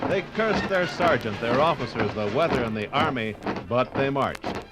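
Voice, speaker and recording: A man's voice: medium pitch, animated, moderate pace, over loud music, rough recording. A man giving solemn, emphatic, scripted narration in a dramatic 1950s radio documentary style.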